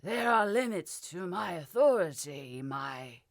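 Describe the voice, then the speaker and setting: A woman voicing a scheming male royal adviser who speaks in smooth, formal deference. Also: lowish voice, sing-song, slow pace, no echo, clean studio sound, heavily acted. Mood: deferential.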